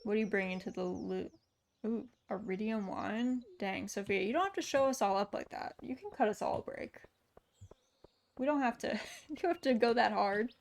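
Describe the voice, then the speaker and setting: Woman, gaming streamer, casual and rambling, light gravel in her voice. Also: mid-range voice, normal speed, slight background noise. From United States. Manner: chatty, answers viewer chat aloud with many fillers. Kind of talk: casual chat while gaming.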